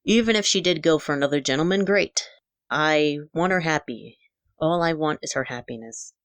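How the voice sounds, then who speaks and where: A woman's voice: sits low, normal speed, no echo, lightly acted. A woman voicing a middle-aged father in an audio story, guarded and wounded, earnest and apologetic. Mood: regretful, earnest. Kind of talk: dramatic character dialogue in a narrated audio story.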